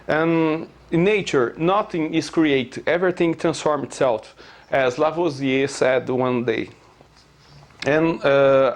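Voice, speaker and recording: A man from Brazil with a Brazilian Portuguese accent, explaining his physics theory in slow, hesitant English with many filler sounds and pauses. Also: fairly high pitch. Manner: earnest, halting non-native phrasing. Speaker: self-taught theorist presenting his theory.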